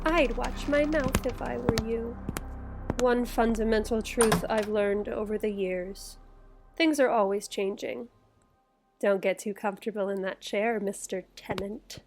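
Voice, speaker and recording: A woman playing a sardonic, forceful American army general in an audio drama, brusque and sarcastic. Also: a little high, steady tone, a little slow, soft background music. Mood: hard, threatening.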